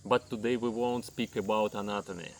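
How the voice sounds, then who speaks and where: A man in his thirties from Ukraine with a Ukrainian accent, speaking English as a second language, giving a measured fitness lesson to camera and listing items one by one. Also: fairly low pitch, fairly even intonation, vocal fry, darkish tone, slight background noise. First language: not English. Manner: measured, lists items one by one, non-native grammar. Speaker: fitness coach. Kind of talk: slow explanatory talk to camera.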